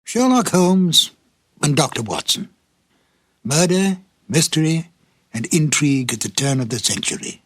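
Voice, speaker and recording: Husky, high-pitched male storyteller, very dryly recorded. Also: animated. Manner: dramatic, deliberate storyteller delivery. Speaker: host introducing old radio episodes. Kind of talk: scripted narration.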